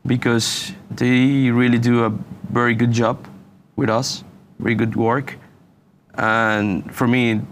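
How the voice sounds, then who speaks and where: A young man from Venezuela, a university student answering interview questions in halting English and saying "you know" often. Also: medium pitch, a little hiss or hum. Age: young adult. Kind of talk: casual interview.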